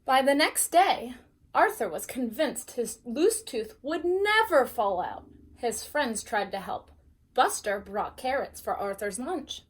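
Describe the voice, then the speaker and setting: Woman, teacher, higher-pitched voice, expressive storybook read-aloud, speaks directly to young children. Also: slow pace. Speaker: teacher reading a bedtime story to first graders.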